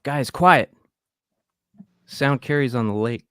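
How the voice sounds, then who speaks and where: A man's voice: medium pitch, slow pace, a bit bright, clean tone, dry recording, studio quality. A man playing a cocky, pushy young camper egging his friends on in a live horror script read. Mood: hushing. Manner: brash, pushy, scheming.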